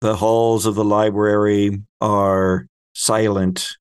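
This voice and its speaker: A man narrating a tabletop role-playing game as game master, describing scenes in measured phrases with pauses. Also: lowish voice, flat delivery, clean tone, no room sound, studio quality.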